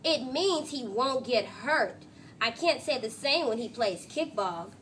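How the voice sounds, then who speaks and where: A woman's voice: on the high side, lively intonation, a little slow, a bit bright, slight background noise. A woman reading a storybook, voicing a brash, cocky, impatient young schoolgirl with an emphatic, boastful delivery. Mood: scornful.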